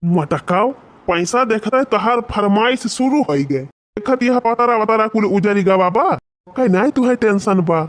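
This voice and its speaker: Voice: mid-range voice, normal speed, lightly acted. A rustic village husband from a cartoon comedy played by a voice actor, speaking with an Awadhi accent. He is plain-spoken and bossy, giving orders in a nagging way. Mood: wary, bossy.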